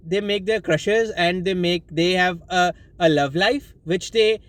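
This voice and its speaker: A man's voice: high voice, a little slow, fairly bright tone, no echo. A young man from Pakistan with a Pakistani accent, whose first language is Urdu, giving rambling, apologetic study advice full of fillers and restarts, recorded inside his car. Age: young adult. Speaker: student vlogger. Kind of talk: casual advice vlog.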